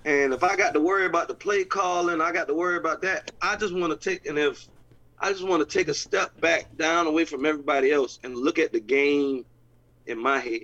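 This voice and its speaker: A man, head coach and owner of a football team, talking casually and excitedly, repeating himself as he goes. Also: a little high, brisk. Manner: excited, repetitive, informal phrasing. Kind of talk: casual chat. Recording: dull lo-fi sound.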